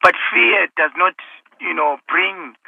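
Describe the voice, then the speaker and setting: A man from Zimbabwe calls in, speaking earnestly and haltingly with many fillers. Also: high-pitched, creaky, husky, no echo. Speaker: caller. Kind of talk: casual call-in speech. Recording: tinny phone line with faint hiss.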